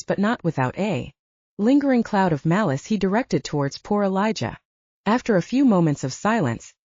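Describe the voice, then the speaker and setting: A woman's voice: on the low side, warm tone, no echo. A woman reading scripted fiction as an audiobook narrator, in a slightly dull recording.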